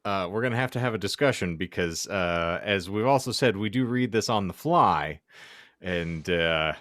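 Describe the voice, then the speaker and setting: A man's voice: low-pitched, expressive, moderate pace, a bit bright, no room sound, clean studio sound. A man hosting a podcast with casual banter, speaking in wordy, theatrically flowery phrases as he presents. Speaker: podcast host and narrator of a fan fiction reading.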